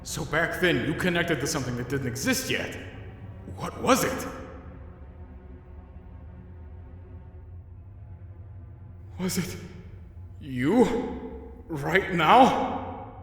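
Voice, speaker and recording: A man's voice: a little high, animated, slow, long pauses, fairly bright tone, a little hiss or hum. A man voicing a wry, protective, laid-back skeleton brother in a dramatic comic dub, casual and given to dry understatement. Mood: uneasy, questioning. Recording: echoey hall reverb, faint music.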